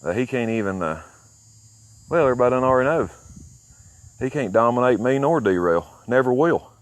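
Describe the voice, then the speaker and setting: A man's voice: medium pitch, animated, fairly quick, no echo. Foul-mouthed, boastful Alabama man, dry sound. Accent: Southern US, Alabama. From southern United States. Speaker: CB radio hobbyist filming his own video. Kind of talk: rambling casual outdoor vlog. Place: outdoors near his home.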